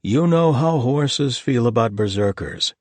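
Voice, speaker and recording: A man voicing an easygoing male paladin with a slow, wry delivery. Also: medium pitch, animated, darkish tone, no room sound, pitched above the performer's own voice, natural voice.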